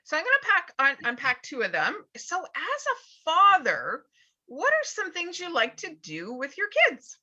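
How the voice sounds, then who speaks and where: A woman's voice: very high-pitched, wildly swooping pitch, unhurried, pushed and bright, dull audio. A woman hosting a live online business interview show, upbeat and asking her guest direct questions over a video call.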